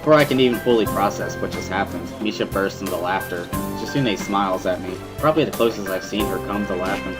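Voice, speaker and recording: A man's voice: sits low, fast, few pauses, close to an everyday voice. A man playing a reflective, easygoing schoolboy who narrates a dramatic reading in the first person. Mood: wry, amused. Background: music.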